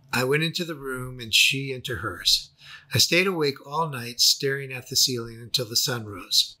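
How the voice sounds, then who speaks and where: Husky, very bright male narrator. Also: mid-range voice. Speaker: author and narrator. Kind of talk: scripted first-person memoir narration.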